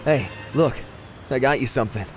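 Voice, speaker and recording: A man acting in an audio drama as a young prince and affectionate brother, casual and relaxed. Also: mid-range voice, moderate pace, over music, close to an everyday voice. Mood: warm, casual. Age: young adult.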